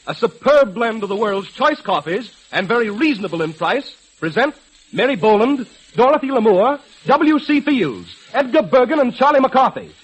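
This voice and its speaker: Very high-pitched 1930s male radio announcer. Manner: declamatory, formal. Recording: crackly 1930s radio broadcast.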